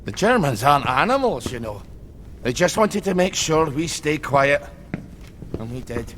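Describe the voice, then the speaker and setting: An actor playing an unstable, gruff older soldierly man in a video game, erratic in his manner. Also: on the high side, big pitch swings, normal speed, a little hiss or hum. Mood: wistful, muttering. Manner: gruff, erratic, swinging from muttering to shouting.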